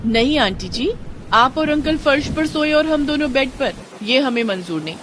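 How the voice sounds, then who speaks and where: A woman's voice: high-pitched, expressive, moderate pace, noisy background, voice pushed up. Woman as a cheerful, affectionate young friend. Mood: insistent, affectionate. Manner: polite, friendly, reassuring.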